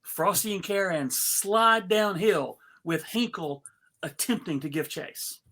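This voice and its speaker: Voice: medium pitch, animated, slow, bright, forceful tone. A performer reading out scene directions from a script, with casual asides at a table read. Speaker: reader of stage directions.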